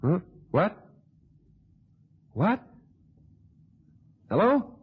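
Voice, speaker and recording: A man's voice: high voice, very animated, very slow, slightly rough, exaggerated voice. An actor playing a puzzled, somewhat exasperated male visitor in a radio thriller, musing and hesitant. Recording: muffled, dull old radio drama recording.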